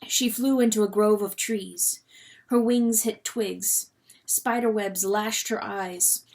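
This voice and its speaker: A woman reader gives a dramatic storybook narration at a medium pitch. Her delivery is expressive and suspenseful. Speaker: audiobook reader.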